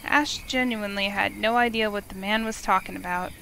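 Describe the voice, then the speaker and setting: A woman's voice: medium pitch, a little fast, gravelly, sharp tone, slight background noise, low-quality recording. A woman reading narration from a visual novel aloud in a storytelling style, as a game streamer.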